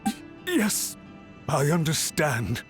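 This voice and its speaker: Anxious, higher-voiced apologetic official. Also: male voice, wildly swooping pitch, slow pace, faint music behind, exaggerated voice. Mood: cowed, deferential. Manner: pleading, humble, formal. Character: anxious, deferential male government official, apologetic and pleading. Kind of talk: dramatic video game dialogue.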